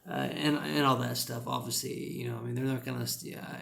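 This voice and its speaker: Gravelly rural American man rambling advice. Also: mid-range voice, little pitch movement, fairly quick, a bit bright. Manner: rambling, full of "you know" fillers. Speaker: English teacher giving career advice. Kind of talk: casual advice vlog.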